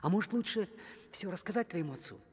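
An actor playing a timid, kind-hearted young male dwarf in an animated film, earnest and hesitant. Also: sits high, fast, breathy edge, big-room sound. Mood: anxious.

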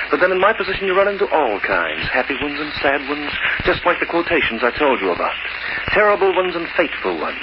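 An actor playing a solemn, philosophical narrator in a vintage radio drama, measured and rhetorical. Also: male voice, on the high side. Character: solemn, philosophical male narrator, Time personified. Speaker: host. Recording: muffled, very noisy old radio recording.

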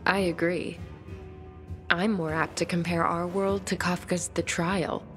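Low-voiced, bookish woman survivor. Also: level tone, a little slow, fairly bright tone, faint music behind. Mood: thoughtful, curious. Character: bookish, flirtatious, thoughtful woman survivor in a zombie apocalypse. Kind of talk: video game cutscene acting.

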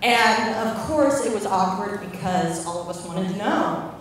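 A young woman tells stories in a casual wedding toast. Her voice rings around a very echoey hall and reaches us from a distance, over clear room noise. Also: medium pitch, animated, unhurried. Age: young adult. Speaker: bride's sister giving a toast. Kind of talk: casual, anecdotal wedding speech. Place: large indoor reception room with windows. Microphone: handheld microphone heard from across the room.

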